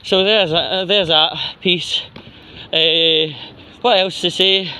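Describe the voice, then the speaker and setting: A Scottish man rambling casually in a halting way, with long mid-sentence pauses, recording himself on a phone as he walks along a street. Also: high-pitched, steady tone, bright, forceful tone, clear, resonant tone. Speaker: vlogger. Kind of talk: rambling casual walking monologue. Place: outdoors, walking along a street pavement. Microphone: handheld phone while walking. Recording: outdoor phone recording with traffic noise.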